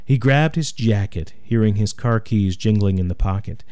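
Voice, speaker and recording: A man's voice: fairly low pitch, moderate pace, darkish tone. A man narrating a scripted suspense story in a dramatic storytelling style. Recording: noisy, ambient sound behind voice.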